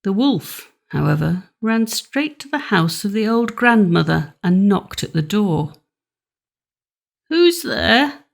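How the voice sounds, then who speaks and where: Creaky-voiced woman reading a fairy tale. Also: mid-range voice. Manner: measured, expressive storytelling. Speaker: audiobook narrator. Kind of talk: scripted fairy tale narration.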